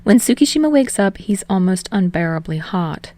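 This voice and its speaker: A woman's voice: medium pitch, animated, moderate pace, warm tone, no room sound. A woman reading fiction aloud as scripted narration for a podfic.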